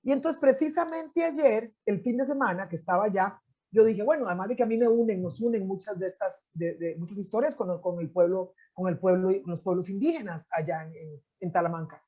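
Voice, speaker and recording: Reflective Costa Rican woman, mellow tone. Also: mid-range voice, fairly quick, lo-fi sound. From Costa Rica. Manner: reflective, frequent restarts and filler "este". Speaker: interview guest, anthropologist and poet. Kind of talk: conversational storytelling.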